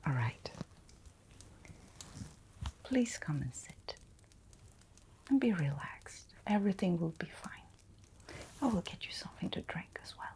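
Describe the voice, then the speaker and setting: A woman acts out a kindly forest innkeeper in a fantasy ASMR roleplay. Her soft, whispered voice is low and creaky, and she takes her time, soothing and reassuring the listener. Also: sing-song, full character voice. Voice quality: soft, breathy, largely whispered. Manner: slow, gentle, caring, reassuring.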